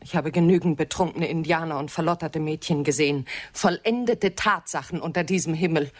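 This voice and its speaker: A woman's voice: sits low, brisk. An actress playing a bitter, proud, scornful woman with curt, cool delivery in a radio drama. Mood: cutting scorn. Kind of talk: German radio drama acting.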